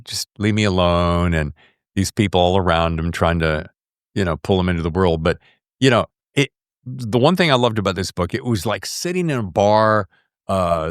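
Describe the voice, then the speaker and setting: A man's voice: low voice, clean tone, no echo, clean studio sound. A man hosting a podcast, interviewing in a casual, conversational and enthusiastic way.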